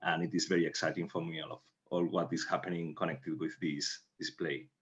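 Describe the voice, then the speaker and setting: A very deep male voice with a native Spanish speaker's accent, heard through dull, lo-fi audio. He is a playwright answering interview questions in careful, imperfect English. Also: fairly even intonation, fairly quick. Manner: non-fluent English, careful phrasing. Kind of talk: recounting in interview.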